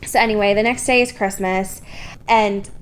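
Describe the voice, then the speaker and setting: A woman's voice: medium pitch, steady tone, normal speed, clear, resonant tone, low-quality recording. A woman podcast host casually recapping a book in a sardonic, informal, sweary manner.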